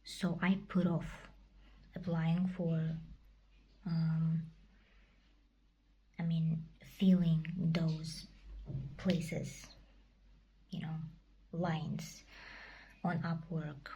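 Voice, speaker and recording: Young woman whispering a vlog, breathy and low, hesitant, stops a lot to find words. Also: level tone, extremely slow, long pauses, mellow, rough recording. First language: Kazakh. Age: young adult. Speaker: vlogger. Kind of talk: casual personal vlog.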